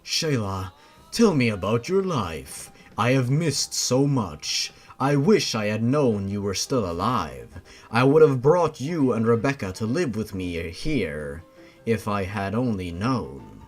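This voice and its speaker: Man voicing a grave, gentle faceless monster father, lower-pitched. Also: very animated, takes it slowly, soft background music, full character voice. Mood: sorrowful, tender.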